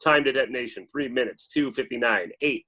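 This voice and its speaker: A man's voice: medium pitch, a little fast, pushed and bright. A man reading stage directions as dramatic scripted narration at a table read.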